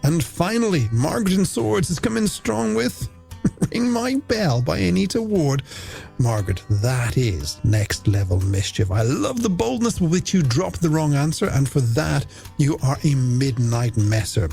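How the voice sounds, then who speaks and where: A man's voice: on the high side, sing-song, a little slow, vocal fry, mellow. A man presenting a late-night music radio show, chatting playfully and directly to listeners. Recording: radio broadcast over music bed.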